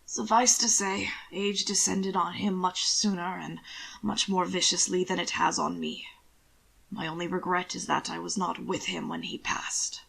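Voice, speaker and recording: A woman plays an aged aristocratic grandfather in an audiobook romance, weighed down by grief. The voice is low and very creaky, with slow, formal, wistful phrasing. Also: steady tone, fairly bright tone, heavily acted, low-quality recording. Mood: somber, wistful. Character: old, somber, grieving English duke, a grandfather.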